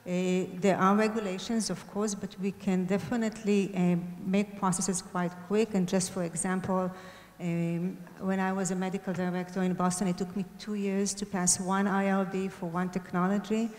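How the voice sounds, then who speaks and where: A woman's voice: mid-range voice, level tone, moderate pace, a little mellow. A woman speaking on a conference panel with a handheld mic, answering fluently with many fillers and self-corrections. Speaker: panelist, hospital data and AI head. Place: large conference hall stage. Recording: long hall reverb.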